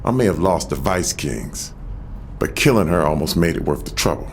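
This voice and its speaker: An actor playing a shrewd American former gang boss, self-assured and streetwise, reminiscing in a scripted game audio log. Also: male voice, low-pitched, animated, moderate pace, slight background noise. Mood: cold, proud. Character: shrewd, patient male ex-gang boss turned author.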